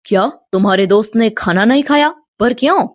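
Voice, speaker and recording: A woman voicing a kind, devout village father with a gentle, humble manner in a storybook tale. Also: on the high side, a little fast, dry recording, lightly acted. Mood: concerned.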